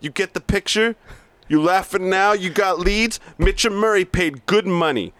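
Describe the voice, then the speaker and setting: Brash, abusive big-shot sales boss, read out by a man at a raised pitch, barking insults in a dry, close recording. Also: moderate pace, a bit bright, clear, resonant tone, dry recording. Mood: berating. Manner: aggressive, swearing, berating; read aloud from a script, stumbling at times. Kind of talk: amateur reading of a scene.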